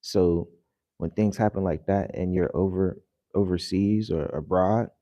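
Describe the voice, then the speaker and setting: A man fresh out of bed talks loosely to his viewers, his voice low and heavy with the morning. The tone is mellow and unhurried, full of ums and restarts. The sound is close and dry with no room around it. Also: fairly even intonation, no room sound. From United States. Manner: rambling, loose and conversational with many fillers. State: just woke up, voice deeper than usual. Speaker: vlogger. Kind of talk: casual vlog talk to viewers. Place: home in the early morning.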